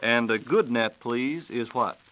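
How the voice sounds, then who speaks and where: A man's voice: medium pitch, a little slow, fairly bright tone, rough recording. An American man hosting a scripted 1940s radio science program with a playful, theatrical announcer delivery. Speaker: radio host interviewing a science reporter. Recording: 1940s radio broadcast recording.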